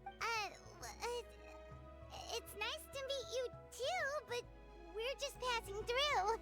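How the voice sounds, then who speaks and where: A woman voicing a small, chirpy, helpful fairy companion in a video game, with a squeaky childlike voice. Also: takes it slowly, bright, forceful tone, full character voice. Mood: hesitant, polite. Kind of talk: video game character acting. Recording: game music under the voice.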